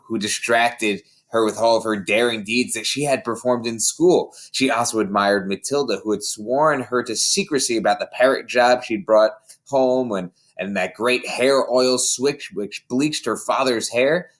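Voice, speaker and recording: A man reading a children's story aloud in an expressive way, like an audiobook narrator. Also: medium pitch, unhurried. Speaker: story reader.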